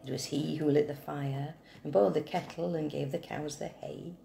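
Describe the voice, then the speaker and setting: A woman reading a poem aloud in a measured recitation style. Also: low-pitched, steady tone, vocal fry, mellow. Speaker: poetry reader. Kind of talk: slow, measured poetry recitation. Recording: echoey room, faint background hiss.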